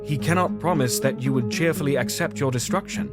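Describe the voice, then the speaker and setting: An actor playing a fussy, caring Victorian gentleman doctor in an audio drama, with earnest, old-fashioned formal speech. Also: medium pitch, a little fast, over loud music, close to an everyday voice. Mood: grave, troubled.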